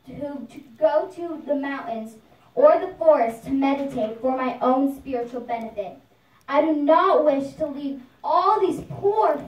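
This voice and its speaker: High-voiced devout child, acted by a woman, echoey. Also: darkish tone. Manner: earnest, reverent, measured delivery. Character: devout, joyful, compassionate saintly child praying to his Lord. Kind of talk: devotional puppet show dialogue. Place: live puppet show in a reverberant room. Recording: echoey room, distant microphone.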